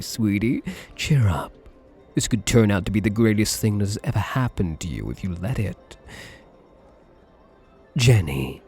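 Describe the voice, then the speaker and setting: Man playing a smug wealthy gambler, possessive lover, low mellow voice, suave teasing endearments, husky, intimate ASMR roleplay. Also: heavily acted. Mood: smug, triumphant.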